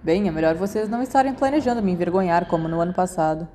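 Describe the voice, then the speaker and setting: Lady doing a guarded teen schoolboy in a storybook, dry and wry, soft dark tone. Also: on the low side, fast talker, a little hiss or hum, lightly acted. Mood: wary, dry.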